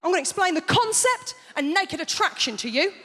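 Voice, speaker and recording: A woman's voice: extremely high pitch, big pitch swings, a little fast. A woman doing stand-up comedy, telling a chatty story with incredulous asides. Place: large theatre stage. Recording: reverberant hall with audience noise.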